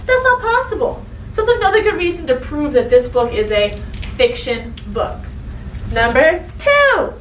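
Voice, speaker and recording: High-voiced woman teacher reading to kids. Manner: expressive, instructive, addresses young listeners directly. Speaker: elementary school teacher. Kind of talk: read-aloud storytelling and lesson for children. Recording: roomy echo, distant mic, faint noise.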